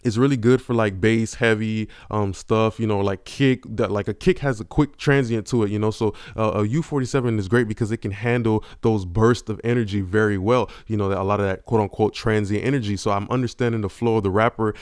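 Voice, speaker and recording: A man runs a casual mixing tutorial in a relaxed, slangy way, with plenty of "you know" fillers. His lowish voice comes through smooth and crisp on a large-diaphragm condenser. The sound is dry and close, with little room around it. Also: a little fast, few pauses, no echo. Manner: laid-back slangy delivery with many fillers. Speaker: YouTube host teaching vocal mixing. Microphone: large-diaphragm studio condenser.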